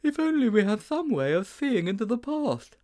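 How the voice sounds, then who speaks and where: A man plays an anxious little schoolboy from a comic storybook, pitched very high and swooping about. He dithers, hesitates and drags out his words in a fretful way. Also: big pitch swings, mellow. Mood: nervous, evasive. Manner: hesitant, drawn-out, fretful delivery.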